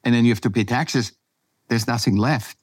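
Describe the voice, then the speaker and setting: A man's voice: medium pitch, steady tone, husky, no echo. Austrian-accented man talking in a dry recording. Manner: halting, repeats words for emphasis. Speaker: podcast guest, artist. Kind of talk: spontaneous personal storytelling.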